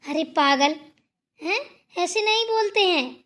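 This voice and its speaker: A high-pitched elder sister-in-law in a family cartoon, played by a woman. She speaks like the sensible one of the household, admonishing and instructing. Her manner is firm and scolding. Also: animated, unhurried, a bit bright, heavily acted. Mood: lecturing. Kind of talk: cartoon family drama dialogue.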